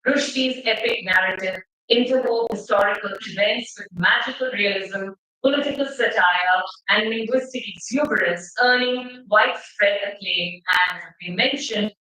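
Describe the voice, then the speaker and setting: A middle-aged woman from Bengal with an Indian English accent, giving a measured, rhetorical seminar lecture with slides. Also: medium pitch, pushed and bright. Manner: measured, rhetorical, asks the audience questions. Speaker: seminar speaker. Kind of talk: academic lecture presentation with slides. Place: seminar hall. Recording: echoey hall, mic at a distance.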